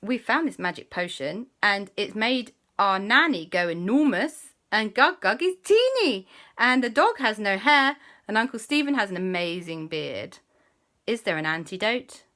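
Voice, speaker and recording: A woman's voice: mid-range voice, big pitch swings, unhurried, pushed and bright. A woman voicing two young boys who ask politely and hesitantly. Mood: hopeful. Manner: hesitant, polite, rising questions.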